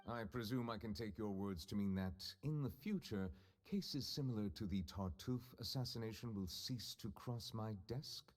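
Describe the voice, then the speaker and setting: An actor playing a formal, reserved male judge who speaks deliberately and guardedly. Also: on the low side, darkish tone, natural voice.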